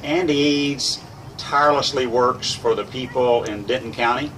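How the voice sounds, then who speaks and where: A man of ordinary pitch gives a mayor's public remarks at a ceremony. His phrasing is solemn and deliberate. He is picked up from some distance, with noticeable ambient noise around him. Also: fairly even intonation, fairly bright tone.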